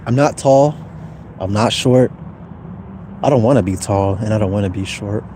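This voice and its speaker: Clear outdoor noise, like traffic, sits behind a young man with a fairly low voice. He chats casually to livestream viewers in a loose, slangy way, often halting to think out loud. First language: Somali speaker, as second language. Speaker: YouTuber live-streaming. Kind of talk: casual livestream chat answering viewer comments.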